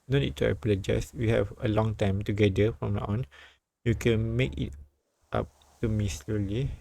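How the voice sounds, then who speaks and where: A man reading a story and its dialogue aloud as a live streamer, often halting and repeating phrases. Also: lowish voice, fairly even intonation, gravelly, mellow, dry recording. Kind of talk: read-aloud narration and dialogue translation with side comments.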